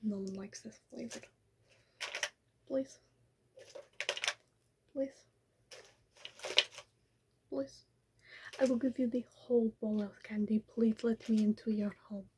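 A woman's voice: on the high side, fairly even intonation, slow pace, long pauses, noisy background. A woman whispering as a vampire offering candy to get inside, coaxing and pleading. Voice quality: breathy whisper. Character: vampire doing reverse trick-or-treating with candy. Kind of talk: whispered ASMR roleplay.

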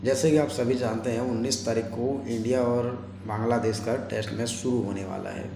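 A young man talks casually to his viewers as a sports vlogger. His mid-pitched voice has a noticeable creak. It is recorded in an echoey room with faint noise behind him. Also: fairly even intonation, a little fast, dark tone. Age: 20s. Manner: colloquial, chatty, addresses viewers as brothers. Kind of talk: casual cricket commentary to camera. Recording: roomy echo, faint background noise.

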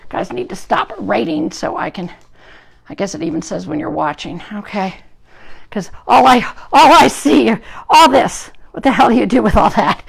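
A hoarse woman groomer with a gravelly voice, chatting to live-stream viewers while trimming a dog in a grooming salon, recorded on a phone. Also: on the high side. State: hoarse from an illness. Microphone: phone camera propped at the grooming table.

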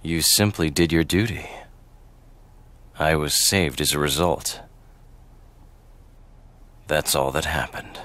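A man playing a brooding, remorseful young fantasy warrior in a video game, speaking in a grave, measured way. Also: a bass voice, fairly bright tone, exaggerated voice. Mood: bitter, guilty. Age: young adult. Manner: grave, measured, self-reproaching. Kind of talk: video game cutscene acting.

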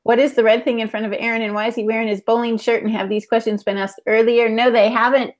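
Higher-voiced middle-aged woman co-hosting. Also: flat delivery, fast talker. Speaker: podcast co-host. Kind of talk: casual live podcast chat. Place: indoor room at home, on a video call. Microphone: earphone headset on a video call.

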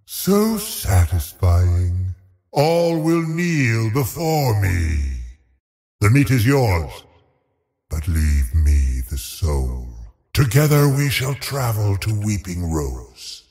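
An actor playing a haughty, cruel undead sorcerer in a fantasy video game, gravelly and menacing, with theatrical, imperious delivery. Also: male voice, deep voice, warm tone, full character voice. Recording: long cavernous reverb.

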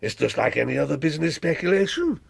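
A man's voice: a little high, animated, fast talker, vocal fry, slightly rough, dry recording, studio quality, heavily acted. An actor playing a blunt, eccentric, fat older businessman who wheels and deals in a radio drama. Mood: brisk, cajoling. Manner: blunt, probing, chuckling businessman. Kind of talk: BBC radio drama acting.

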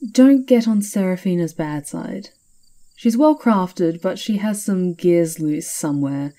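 A woman's voice: fairly low pitch, dark tone. A woman playing a sly, knowing castle witch in an ASMR roleplay, with a teasing, confiding and theatrical manner.

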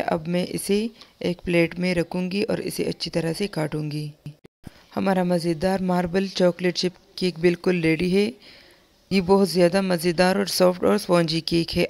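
A young woman host giving a calm, step-by-step recipe voice-over for her home cooking channel. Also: on the low side, normal speed, creaky. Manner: calm, instructive, repeats steps plainly.

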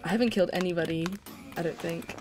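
A young woman chatting casually while playing a video game, exclaiming often and laughing easily. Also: on the low side. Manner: excitable, quick to laugh and exclaim. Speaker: gaming channel host. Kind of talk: casual gameplay chat.

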